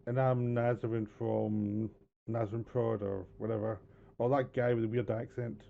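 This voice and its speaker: A man's voice: fairly low pitch, little pitch movement, moderate pace, creaky. A man with a Scottish accent co-hosting a podcast, joking around and laughing through his lines. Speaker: podcast co-host. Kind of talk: casual podcast chat. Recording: muffled lo-fi audio with reverb.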